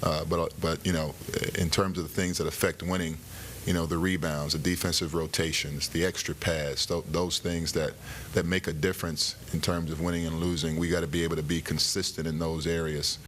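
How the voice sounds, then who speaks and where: A man's voice: a bass voice, little pitch movement, brisk, fairly bright tone, a little hiss or hum. A man, a college coach, answering unscripted in an interview. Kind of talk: interview soundbite.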